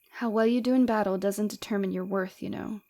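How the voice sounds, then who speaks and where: A woman playing a flirtatious, forward rebel villainess with a secretly caring side, in a soft, teasing, intimate ASMR roleplay. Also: medium pitch, steady tone, moderate pace, natural voice. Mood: tender, concerned.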